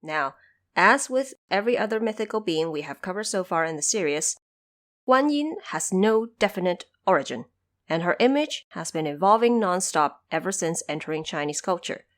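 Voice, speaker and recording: A woman hosting a podcast, explaining background notes in a measured, instructive way with conversational asides. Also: on the low side, animated. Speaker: podcast host. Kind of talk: explanatory production-notes narration.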